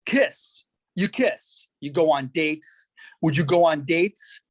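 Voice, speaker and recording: A man's voice: fairly high pitch, takes it slowly. A man playing a persistent lawyer who puts insistent, clipped questions to a witness in a dramatic reading. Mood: probing. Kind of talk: dramatic reading of a deposition transcript.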